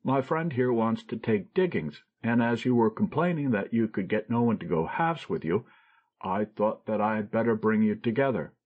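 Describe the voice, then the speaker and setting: A man's voice: lowish voice, animated, normal speed, narrow, tinny audio. A man voicing a cheerful, teasing young friend in an audiobook. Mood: genial. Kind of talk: audiobook character reading.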